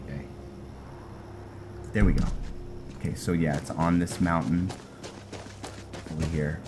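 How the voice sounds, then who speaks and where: A man's voice: a bass voice, steady tone, a little slow, noisy background. A man doing casual game commentary, thinking aloud to himself in short steps as he plays through a roleplay. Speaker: gamer hosting a roleplay playthrough. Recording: loud music over the voice. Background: loud game music.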